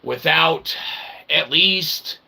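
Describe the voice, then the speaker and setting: A man's voice: fairly high pitch, extremely slow, creaky, bright, forceful tone. A man hosting a show in a rambling, jokey, theatrical way with mock-formal wordy phrasing. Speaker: show host and author. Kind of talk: rambling show sign-off addressed to audience.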